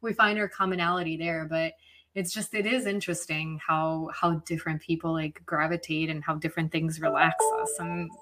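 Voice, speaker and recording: A woman from Serbia chatting casually in an expressive, reflective and informal way. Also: low voice, a little fast, very noisy. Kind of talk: casual chat.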